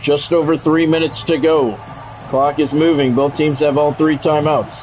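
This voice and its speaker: Higher-pitched man calling football play-by-play. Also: normal speed, faint music behind. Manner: announcer-like, short clipped phrases, excited calls on big plays. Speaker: video game streamer doing play-by-play. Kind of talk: live sports play-by-play commentary of a football video game.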